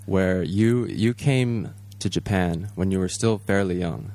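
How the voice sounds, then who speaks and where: Guy co-hosting, lowish voice, stumbles and repeats words as he asks stuff. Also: mellow. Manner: halting, repeats words when asking. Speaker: co-host. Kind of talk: casual interview questions.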